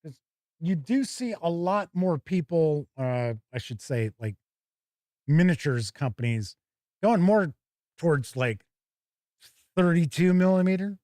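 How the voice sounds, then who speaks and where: A man's voice: fairly high pitch, expressive, a little slow, pauses often, mellow, dry recording, clean studio sound. A man hosting a tabletop gaming show, giving chatty, casual live commentary as he looks over products and talks with viewers.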